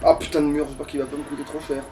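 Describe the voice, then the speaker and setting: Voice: mid-range voice, flat delivery, rapid-fire, dark tone. A let's play gamer talks casually through the action, swearing and grumbling along the way. The voice sits back from the microphone, with faint noise around it. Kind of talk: casual gaming commentary. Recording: distant mic with background noise.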